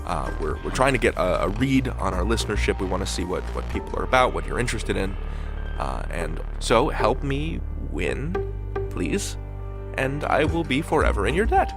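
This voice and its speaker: Male podcast host: lively swooping intonation, chatty and enthusiastic, music bed underneath. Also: on the low side, moderate pace, creaky. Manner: conversational, enthusiastic. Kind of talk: chatty scripted podcast hosting.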